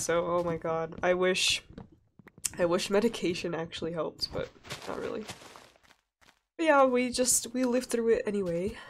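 A young woman from Sweden with a stuffy, nasal voice, chatting casually and laughing as she narrates a relaxed gameplay session. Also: on the low side, a little hiss or hum. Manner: chatty, rambling, laughs often. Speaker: let's play gamer.

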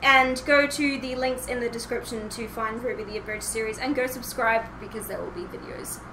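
A woman's voice: a little high, fairly even intonation, a little fast. A young woman hosting a podcast as a casual group chat, with a giggly manner. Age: young adult. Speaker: podcast host. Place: home room with shelves and posters, indoors. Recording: roomy, noisy home recording.

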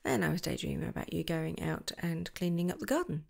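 A young woman doing a girlfriend roleplay to the listener, soft and affectionate, giggling and slipping into whispers. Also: fairly low pitch, lively intonation, a little fast, vocal fry. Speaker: ASMR roleplay creator.

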